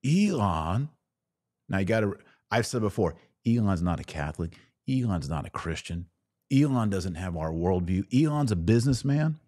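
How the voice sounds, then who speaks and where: A man's voice: low-pitched, lively intonation, normal speed, darkish tone, dry recording, clean studio sound. An American man hosting a live-streamed podcast, giving conversational opinion commentary on the news with short, emphatic phrasing. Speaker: podcast host. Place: studio.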